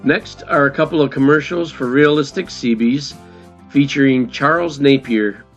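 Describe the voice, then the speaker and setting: A man's voice: mid-range voice, normal speed, a bit bright, soft background music. A man narrating as the host of a video in a casual, scripted style. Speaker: host introducing vintage commercials. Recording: dull lo-fi voice recording.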